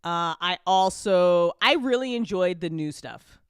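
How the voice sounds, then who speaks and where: A woman's voice: on the low side, animated, a little slow, clean tone, no echo, studio quality. A woman hosting a casual live chat as a show creator, talking in a rambling, giggly, informal way.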